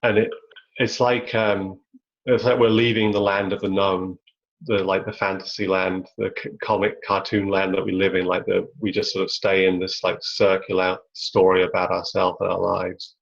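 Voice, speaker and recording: A man leading a spiritual satsang over a video call, speaking in a searching, halting way full of "like"s. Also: deep voice, little pitch movement, vocal fry. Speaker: spiritual teacher. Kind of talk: reflective satsang talk. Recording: lo-fi web call audio.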